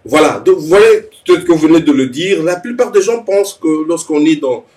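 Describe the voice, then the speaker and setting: A man's voice: a little high, expressive, a little fast, darkish tone, breathy edge. A man hosting an interview, attentive and formal, often murmuring agreement. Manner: attentive, frequent affirming murmurs, formal greetings. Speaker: interviewer, host. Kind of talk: interview conversation.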